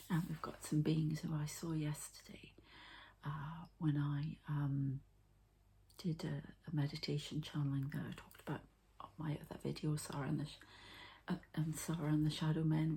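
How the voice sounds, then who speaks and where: A woman giving an ASMR energy healing session, softly and haltingly talking through each step as she goes. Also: sits low, gravelly, a little hiss or hum. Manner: soft, halting, murmured commentary on each step. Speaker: ASMR energy healer and channeler.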